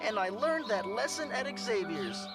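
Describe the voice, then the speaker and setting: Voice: medium pitch, sing-song, normal speed, over loud music. A performer playing an earnest, defiant fifteen-year-old boy superhero in a motion comic. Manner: earnest, plain-spoken.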